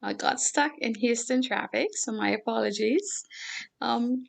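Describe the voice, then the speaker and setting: A middle-aged woman from Trinidad and Tobago with a Trinidadian accent, talking earnestly and in a measured way as a show guest. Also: fairly high pitch, vocal fry. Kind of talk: conversational interview talk.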